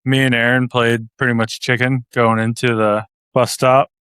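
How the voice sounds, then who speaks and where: A man's voice: medium pitch, little pitch movement, moderate pace, fairly bright tone, clean tone, dry recording, clean studio sound. A man giving casual interview answers as a podcast guest, laid-back and agreeable. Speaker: guest racing driver.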